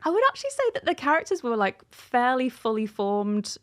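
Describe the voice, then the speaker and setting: A woman's voice: on the high side, big pitch swings, a little fast, a bit bright. A woman in her thirties, an author chatting casually and animatedly in an interview, in an informal way. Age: 30s.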